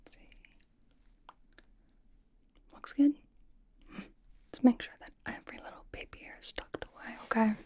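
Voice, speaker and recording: A woman's voice: brisk, a little mellow, natural voice. A woman playing a blunt, doting young pop idol in a whispered ASMR roleplay, with a casual, dry, slangy delivery. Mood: fussing, gentle.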